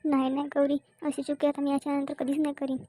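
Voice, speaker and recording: A woman plays a hot-headed young cartoon son with a high, bright-edgeless, mellow voice. He whines and repeats himself, with little swing in pitch. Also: completely flat delivery, quick pace, a little hiss or hum, lightly acted. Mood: pleading, remorseful. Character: hot-headed drunk son, sulky then pleading. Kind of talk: amateur cartoon comedy dubbing.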